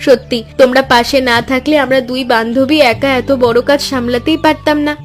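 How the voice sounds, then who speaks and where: A woman's voice: high voice, steady tone, fairly quick, soft background music, close to an everyday voice. A woman voicing a devoted, hopeful village wife in a Bengali cartoon story, earnest and encouraging. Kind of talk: Bengali animated moral story dialogue.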